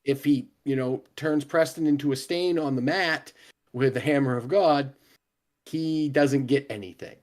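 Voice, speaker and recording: A man co-hosting a wrestling review, explaining his view in a measured, emphatic way. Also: mid-range voice, darkish tone. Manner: measured, emphatic, building an argument. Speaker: wrestling show co-host. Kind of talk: casual wrestling analysis.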